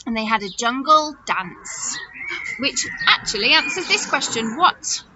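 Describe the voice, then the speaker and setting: High, animated woman teaching young children. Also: measured pace. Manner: animated, questioning, exaggerated gasps and wonder for children. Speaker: children's teacher hosting story follow-up activities. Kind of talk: chatty talk addressed to young children. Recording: dull audio with loud noise and clicks.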